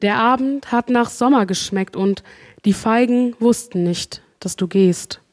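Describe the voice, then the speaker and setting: A woman poet casually introducing herself with frequent filler sounds, then reading her poem more slowly at a live reading. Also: a little high. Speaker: poet and workshop leader.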